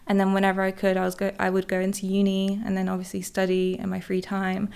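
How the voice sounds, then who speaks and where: Guest, 20s, soft-spoken and laid-back, smooth tone with fry, even pitch. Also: female voice, fast talker. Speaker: podcast guest, content creator. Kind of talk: casual chat.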